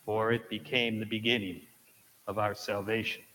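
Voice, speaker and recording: A man preaching a teaching homily at Mass, explaining slowly and correcting himself as he goes. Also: on the low side, level tone, bright, forceful tone, big-room sound, a little hiss or hum, low-quality recording. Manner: slow, explanatory, self-correcting with light laughs. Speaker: priest. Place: church.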